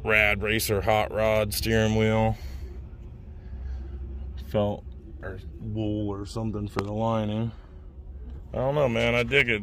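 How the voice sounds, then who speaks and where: A man's voice: fairly low pitch, a bit bright. A man giving laid-back, slangy, admiring off-the-cuff commentary as he films a car walkaround. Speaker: car show vlogger. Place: outdoor car auction lot, at times inside a car. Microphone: handheld camera, close behind it. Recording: outdoor car lot ambient noise.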